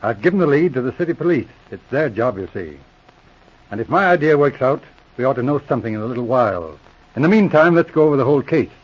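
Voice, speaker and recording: Shrewd Mountie sergeant played by a male actor, middle-pitched, commanding and dry, 1940s radio drama, dull vintage sound. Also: lively intonation, fast, natural voice. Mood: measured, probing. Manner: probing, commanding, dryly teasing. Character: shrewd, self-assured Mountie sergeant, loyal and patient. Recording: muffled vintage radio recording.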